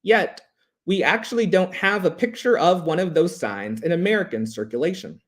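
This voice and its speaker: Higher-voiced man lecturing with lively intonation. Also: normal speed. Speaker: history lecturer. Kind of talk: prepared educational history presentation.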